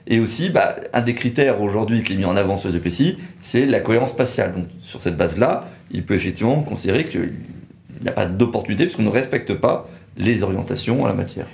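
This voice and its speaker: A man's voice: fairly low pitch, lively intonation, fast, creaky, darkish tone. A man giving a technical legal explanation in a methodical, precise way, as an expert in discussion. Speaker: lawyer explaining procedures. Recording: roomy, echoing sound.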